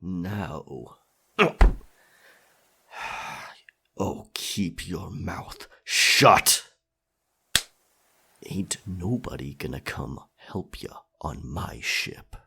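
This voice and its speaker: A man's voice: sits low, lively intonation, drawn-out words, gravelly, pushed and bright, breathy edge, exaggerated voice. A man playing a sadistic pirate captain in an ASMR roleplay, taunting in a menacing, mocking drawl full of pirate slang.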